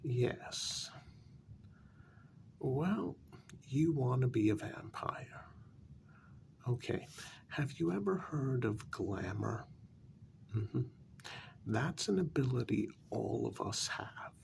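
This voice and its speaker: Guy doing a weary older vampire gent, super slow gravelly soft talking, smug and sarcastic asmr, bit of background hiss. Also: medium pitch. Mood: smug, vain. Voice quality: gravelly, breathy soft voice dropping into whispers. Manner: slow, deliberate, dryly condescending. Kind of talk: soft-spoken ASMR role-play.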